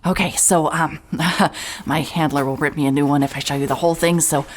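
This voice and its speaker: A woman's voice: low-pitched, fairly even intonation, moderate pace. An actress playing a dogged, awkward female private investigator in a cinematic audio drama, moving between brooding narration and hesitant dialogue. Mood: eager, careful.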